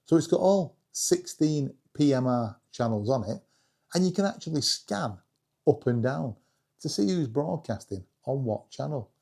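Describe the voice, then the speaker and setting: A British man with a husky voice giving a casual, chatty hobby review with self-deprecating humour. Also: medium pitch, expressive, normal speed, dark tone. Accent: British English. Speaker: video creator reviewing radios.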